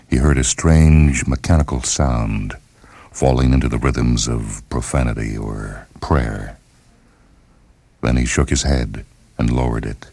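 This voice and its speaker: Very deep-voiced American male story narrator. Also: darkish tone, slightly rough, no room sound. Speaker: narrator and host. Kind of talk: dramatic scripted story narration. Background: music under the reading.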